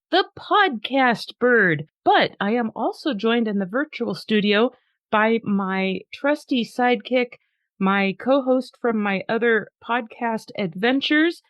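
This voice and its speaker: A woman's voice: on the high side, no room sound. Chatty woman podcast host, dry lo-fi sound. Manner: chatty, upbeat, laughs easily. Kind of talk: casual podcast chat and dramatic poem reading.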